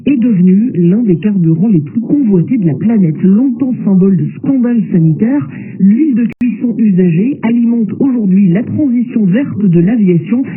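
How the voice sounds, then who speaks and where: High-pitched man presenting radio news, tinny shortwave. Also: lively intonation, moderate pace, a crowd in the background. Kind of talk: live morning news bulletin with headlines. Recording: tinny narrow-band shortwave radio, music behind.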